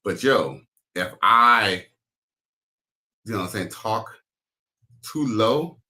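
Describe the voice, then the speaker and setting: A man with a very deep baritone gives loud, crude, slang-heavy reaction commentary. His voice is low yet bright and forceful. He hosts the talk in a casual way. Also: expressive, takes it slowly. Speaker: commentary host.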